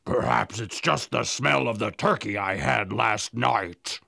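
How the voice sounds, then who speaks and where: A man playing a horrible, menacing, weary giant with a gravelly, raspy voice in an animated children's story. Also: on the low side, measured pace, no room sound, studio quality, full character voice. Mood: weary grumbling.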